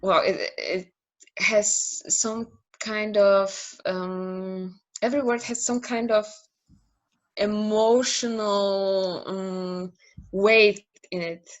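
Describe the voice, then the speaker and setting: A woman's voice: medium pitch, fairly even intonation, very slow. A woman in her thirties with a Polish accent, speaking English as a second language as an interview guest on a video call, hesitant with many fillers and restarts. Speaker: interview guest, naming specialist. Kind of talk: casual interview conversation.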